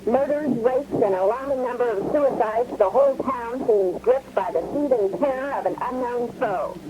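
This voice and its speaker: An actress playing a field reporter on 1970s radio, giving a formal, dramatically worded report on grim events. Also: female voice, on the high side, close to an everyday voice. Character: female field reporter describing horrors in a stricken city. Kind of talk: scripted on-scene radio news report, 1970s. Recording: dull radio feed with crowd noise.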